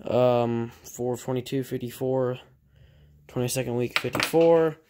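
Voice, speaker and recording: A man talking casually off the cuff like a hobbyist repairer showing his work, halting and correcting himself as he thinks aloud. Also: mid-range voice, noisy background. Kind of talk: casual off-the-cuff workshop vlog. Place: indoor workshop at a cluttered bench.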